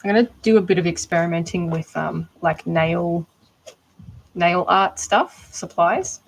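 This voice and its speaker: A woman's voice: on the low side, a little slow, vocal fry, a little hiss or hum, rough recording. A woman with an Australian accent chatting casually during a livestream, rambling and thinking aloud while she crafts. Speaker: art doll maker.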